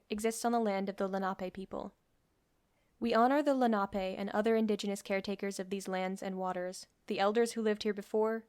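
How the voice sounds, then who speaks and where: A woman's voice: medium pitch, smooth, no echo, clean studio sound. A woman giving a scripted pre-show announcement for an audio play.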